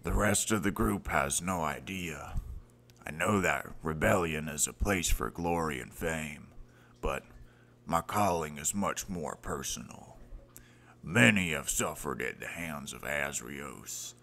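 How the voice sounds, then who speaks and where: An actor playing a gruff, hearty older warrior in a fantasy audio drama, with boisterous, grandiose old-fashioned talk. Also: male voice, extremely low pitch, slow pace, bright, forceful tone, heavily acted. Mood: somber grieving. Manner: boisterous, old-fashioned grandiose phrasing, calls others laddie. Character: gruff, hearty older warrior rebel, man, boisterous yet secretly grieving.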